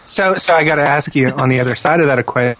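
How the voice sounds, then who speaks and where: A man's voice: on the high side, fast talker, a bit bright, rough recording. A man co-hosting a podcast, chatting casually with dry, teasing humor. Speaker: podcast host. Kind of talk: casual chat.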